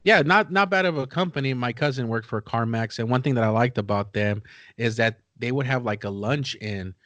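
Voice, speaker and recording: A man's voice: mid-range voice, brisk, fairly bright tone, no echo. A man hosting a casual interview, chatting informally and asking questions. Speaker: interview host.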